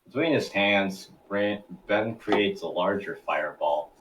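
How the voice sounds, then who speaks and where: A man's voice: fairly low pitch, measured pace, fairly bright tone, distant mic, poor audio. A man reading scripted narration for a table read, now and then stumbling over his words.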